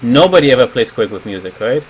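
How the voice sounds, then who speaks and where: A man in his thirties from Germany talks casually with a German accent, hosting a game livestream. His voice sits at an ordinary male pitch, with faint steady noise behind it from the room where he streams at his computer. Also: fast, poor audio. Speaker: level designer and livestream host.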